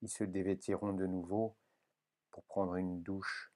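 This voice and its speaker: A man with a moderately low voice reads poetry solemnly. He takes his time, very slow and deliberate, setting each phrase down with measured care. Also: little pitch movement, darkish tone. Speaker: poetry reader.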